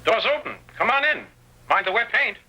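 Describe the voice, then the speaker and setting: An actor playing a crusty, suspicious old cabin man in a Western radio drama, with a raspy rural Western American accent and folksy, excitable talk. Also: high-pitched, moderate pace, very noisy. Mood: wary, startled.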